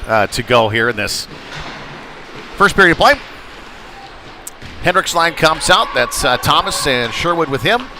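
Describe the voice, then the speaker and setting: A man calling live hockey play-by-play in clipped present-tense phrases that build with the action. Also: medium pitch. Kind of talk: live sports commentary.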